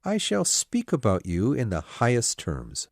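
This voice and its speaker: A woman voicing a pompous, self-satisfied young male clergyman who proposes, speaking in a slow, smug, insistent way. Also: extremely low pitch, wildly swooping pitch, a little mellow, no echo, clean studio sound, pitched below the performer's own voice, full character voice. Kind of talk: storybook character voice.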